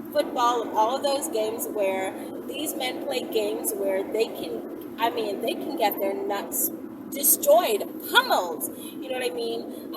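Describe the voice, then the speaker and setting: A woman talking casually and candidly to viewers as a vlogger, in a rambling, conversational, animated way. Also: fairly high pitch. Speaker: vlogger and channel host. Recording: distant mic, heavy vehicle-like background noise.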